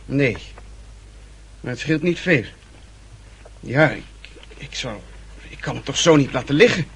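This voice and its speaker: An actor playing a formal military officer of historical France in a radio drama, with an exclamatory way of talking. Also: male voice, medium pitch, lively intonation, measured pace, fairly bright tone. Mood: startled, commanding. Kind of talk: period radio drama acting. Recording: noisy old radio drama recording.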